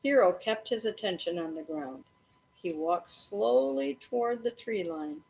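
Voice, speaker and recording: A woman reading a children's story aloud expressively, in an animated way meant to engage a young listener. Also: medium pitch, measured pace, creaky, a little hiss or hum, poor audio.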